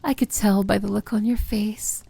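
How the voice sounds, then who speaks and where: A woman playing a seductive, tender yet commanding evil princess in an intimate fantasy ASMR roleplay, speaking softly and often whispering. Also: medium pitch, fairly even intonation, dry recording. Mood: inviting, playful. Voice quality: soft, breathy, often whispered. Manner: slow, intimate, gently commanding.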